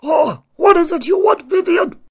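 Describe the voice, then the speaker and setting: Shrill, imperious old sea chancellor. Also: male voice, animated, normal speed, darkish tone. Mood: impatient. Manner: imperious, theatrical. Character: pompous high-voiced sea chancellor, stern old father.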